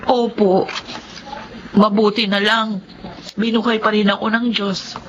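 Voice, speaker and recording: A woman's voice: mid-range voice, level tone, a little slow, natural voice. A woman playing a poor, devoted widowed mother in a Filipino melodrama, speaking politely and emotionally with a Filipino accent. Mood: humble, subdued. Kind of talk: Filipino radio-style melodrama acting. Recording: dull lo-fi audio with light noise.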